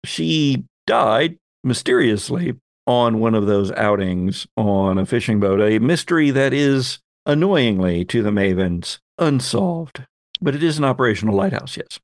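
A man acting as game master, narrating a tabletop story off the cuff with measured, hesitant pauses. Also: medium pitch, animated, no echo, clean studio sound.

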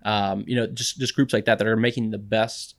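A man's voice: fairly low pitch, flat delivery, fairly quick, creaky, no room sound, clean studio sound. A man in his thirties from Texas, a guest chatting casually and laughing often, with plenty of fillers and restarts. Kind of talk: casual podcast conversation.

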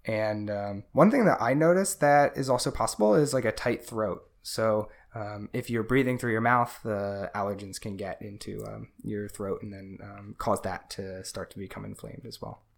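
A man's voice: on the low side, moderate pace, vocal fry, hardly any pauses. A man co-hosting a podcast, explaining in a casual chat with many fillers. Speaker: podcast co-host.

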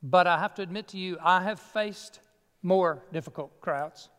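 A man's voice: high voice, moderate pace, fairly bright tone, in a large hall. A man pastor playing the reformer Martin Luther in a sermon monologue, folksy and self-deprecating, with a Southern US accent. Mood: earnest. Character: Martin Luther, old German monk reformer, earnest. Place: church sanctuary.

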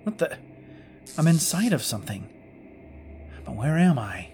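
Man acting as stranded starship engineer, highish voice, halting, wry, self-talking, sci-fi audio drama, prominent music underneath. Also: big pitch swings, a little mellow. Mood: dazed, wry. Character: rattled, sarcastic male starship chief engineer, stranded alone.

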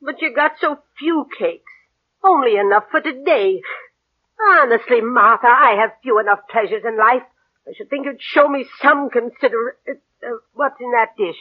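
Husky, querulous older aunt, played by an actress. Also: female voice, a little high, moderate pace, no room sound, close to an everyday voice. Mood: scolding. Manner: scolding, sarcastic, fussy. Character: querulous, demanding older aunt, imperious and complaining. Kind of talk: 1940s radio acting. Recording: tinny, narrow vintage radio recording.

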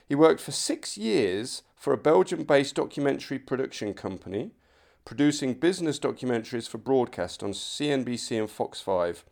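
A male podcast host with an ordinary mid-range voice that has some gravel and fry in it. He talks casually and informally, in a matey style suited to introducing and interviewing a guest. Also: medium pitch, darkish tone.

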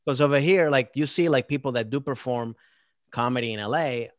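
A man who is a stand-up comedian, chatting casually in an interview, talking in quick bursts with many fillers and restarts. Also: mid-range voice, no room sound, studio quality. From Maryland, United States.

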